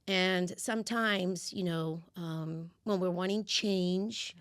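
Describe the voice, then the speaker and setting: A woman's voice: on the low side, slow pace, clear, resonant tone, dry recording, studio quality. A woman coach raised in the US by Cuban parents, with Spanish as her first language, telling her story in a casual interview and acting out her past reactions. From United States, of Cuban parents. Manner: animated storyteller, acts out her own past voice. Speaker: guest, women's empowerment coach.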